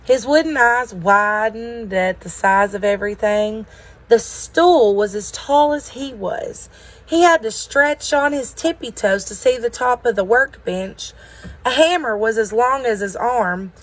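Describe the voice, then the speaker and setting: A woman's voice: a little high, unhurried. A woman reading a children's story aloud. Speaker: story reader at a school.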